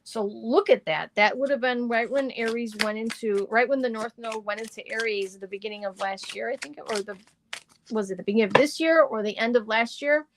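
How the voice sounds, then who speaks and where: A middle-aged woman doing live astrology and tarot readings for viewers, rambling and chatty with lots of fillers, her voice slightly hoarse and deepened. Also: fairly quick, background noise.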